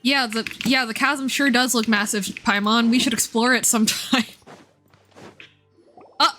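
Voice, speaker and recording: A woman's voice: on the high side, fairly even intonation, unhurried, sharp tone, faint music behind. A woman livestreaming a game in casual chat, with a giggly, playful manner, sarcastic asides and some swearing. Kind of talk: casual chat, reacting to game story while playing.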